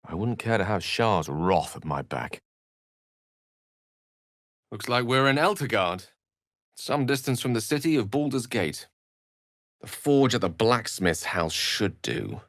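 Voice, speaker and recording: A man's voice: fairly low pitch, sing-song, a little slow, pauses often, a bit bright, no echo, clean studio sound, heavily acted. A man playing an erudite, witty wizard in a fantasy video game, speaking with eloquent, wry and courteous theatricality. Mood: thoughtful, explanatory.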